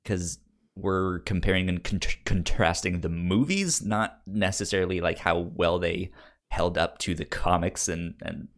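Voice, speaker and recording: Man, lowish pitch, stammering repeats, casual debate style, podcast co-host. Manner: halting, often stammers and repeats words.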